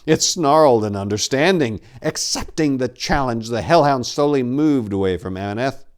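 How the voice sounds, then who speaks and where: A man narrating dark fantasy horror as a dramatic reading, with a grave, intense, theatrical delivery. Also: mid-range voice, expressive, moderate pace, clear, resonant tone.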